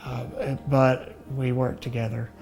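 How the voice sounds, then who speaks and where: Slow, flat-toned Southern man, mellow voice. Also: medium pitch, gravelly, soft background music, rough recording. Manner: halting, measured. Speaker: interviewee, doctoral graduate. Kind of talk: interview answers.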